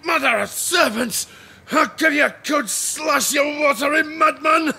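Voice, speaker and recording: Old sea drunk, high gravelly voice, slow rambling delivery, archaic sailor talk, audio drama. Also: male voice, shouting, music in the background, heavily acted. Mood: terrified. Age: older. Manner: rambling, archaic seafaring dialect.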